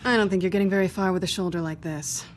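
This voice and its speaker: A woman's voice: on the low side, steady tone, fast, darkish tone, slight background noise, natural voice. A woman playing a guarded, teasing, world-weary female thief with a wry, sardonic manner in a video game. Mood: firm, guarded. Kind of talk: video game cutscene dialogue. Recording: music behind the voice.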